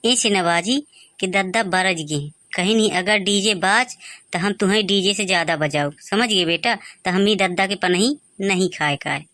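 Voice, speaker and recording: A man voicing a brash young village fellow in a Bagheli comedy cartoon, with a high boyish voice, a Bagheli accent and a pushy, argumentative way of talking. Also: normal speed, sharp tone, no room sound. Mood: warning. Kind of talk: dialect comedy cartoon voice acting.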